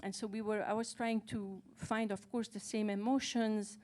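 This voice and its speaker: A woman co-translator who is a native Farsi speaker, talking on a panel, hesitant and pausing often to search for words. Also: medium pitch, flat delivery, darkish tone. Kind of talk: casual panel talk.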